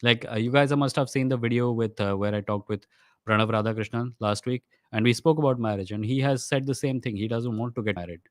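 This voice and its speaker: A young man from India with an Indian accent and Odia as his first language, hosting a livestream Q&A. He answers viewer questions in a quick, conversational way and often switches into Hindi mid-sentence. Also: mid-range voice, no room sound, clean studio sound. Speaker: host of a live stream.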